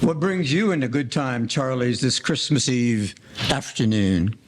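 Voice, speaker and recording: A man's voice: medium pitch, unhurried, slight background noise, lightly acted. A man playing a big, melancholy former bootlegger in a 1940s radio play, speaking in formal phrases. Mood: melancholy, polite.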